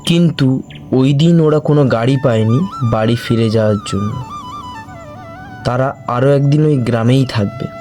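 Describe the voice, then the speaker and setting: A man narrates a cartoon ghost story in a slow, deliberate way. His voice is dark and mellow at a middle pitch, with faint music beneath it. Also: wildly swooping pitch. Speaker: narrator and channel host.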